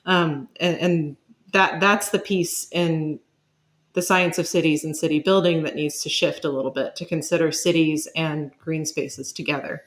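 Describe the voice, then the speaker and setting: A woman with a voice a little on the low side speaks as an interview guest. She talks as an expert in a conversational way, thoughtfully laying out her explanations piece by piece. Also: steady tone, moderate pace.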